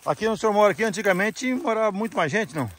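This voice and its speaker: A young man chatting with farmers outdoors, asking quick, upbeat questions while filming on a phone at arm's length. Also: high-pitched, lively intonation, fairly bright tone. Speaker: vlogger interviewing farmers. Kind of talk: casual rural interview. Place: outdoors on a hillside farm. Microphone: phone held at arm's length.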